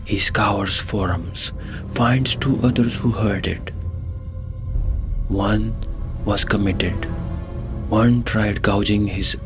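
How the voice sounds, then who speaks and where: A man's voice: on the low side, fairly even intonation, slow, pauses often. A man narrating a scripted horror story in an ominous way, in short clipped phrases. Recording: music behind the voice. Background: music.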